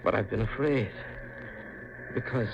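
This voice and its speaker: A man playing a haunted, menacing fisherman in a 1950s radio mystery, speaking in a halting, ominous way with a gravelly voice. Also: fairly low pitch. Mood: obsessed, intense. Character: brooding, haunted, menacing fisherman, a grieving murderer. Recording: noisy, muffled 1950s radio recording.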